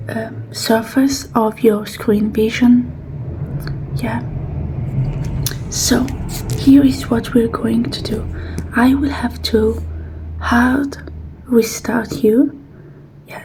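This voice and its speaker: A woman whispering softly and breathily in an ASMR roleplay as a technician, with a gentle, reassuring and unhurried manner. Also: on the high side, background noise.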